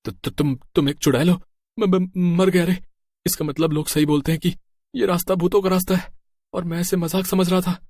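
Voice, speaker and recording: Man acting a chatty, excitable biker, dry recording. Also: high voice, normal speed, no room sound. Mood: terrified, pleading. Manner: colloquial, stammers when flustered. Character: chatty, cocky married male biker, easily terrified. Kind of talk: horror story character dialogue.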